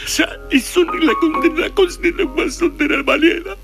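A man's voice: very high-pitched, moderate pace, creaky, music in the background, pitched above the performer's own voice. A man voicing a chatty old Italian immigrant with a thick put-on Italian accent who lapses into broken Italian. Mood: tearful comic. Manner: rambling, drops into broken Italian.

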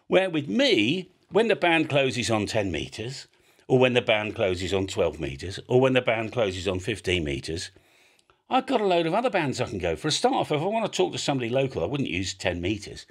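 British male video host, highly varied intonation, casual explainer with an emphatic, blunt, rambling delivery. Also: sing-song, fairly quick, slightly rough. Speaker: amateur radio video host.

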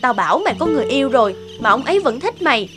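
A woman's voice: sits high, big pitch swings, rapid-fire, music in the background. A woman voicing a brash, pushy, materialistic young woman in a cartoon. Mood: pushy scorn. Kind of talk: cartoon dubbing.

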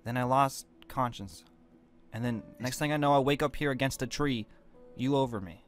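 Casting: man as bewildered new teen werewolf, mid-pitched male voice, halting delivery, game roleplay over music. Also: lightly acted. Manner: halting, stammering confusion. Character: bewildered teenage boy newly turned werewolf hellhound. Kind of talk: acted dialogue in a Minecraft roleplay drama. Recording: music behind the voice.

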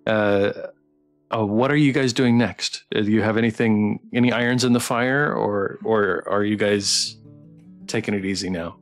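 A man's voice: lowish voice, normal speed. A man hosting a casual livestream interview, speaking hesitantly and with effusive appreciation. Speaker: livestream host interviewing game developers. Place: online voice call on a livestream. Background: guitar music playing softly.

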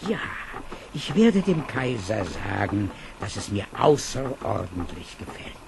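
An actor playing an obsequious, effusive royal minister in a fairy-tale audio drama. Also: male voice, lowish voice, big pitch swings, measured pace, creaky, full character voice. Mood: effusive, servile. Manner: effusive, deferential. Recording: roomy old cassette, faint noise.

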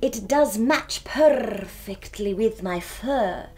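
A woman voicing a smug, languid house cat in a children's storybook, with drawn-out purring words. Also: mid-range voice, lively intonation, a little mellow, breathy edge, pitched below the performer's own voice.

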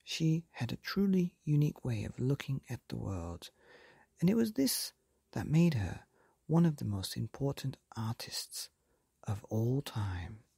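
Slow breathy man reading a bedtime story. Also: medium pitch, sing-song, dry recording, clean studio sound. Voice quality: soft breathy half-whisper. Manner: slow, gentle and lilting. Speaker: storyteller and podcast host.

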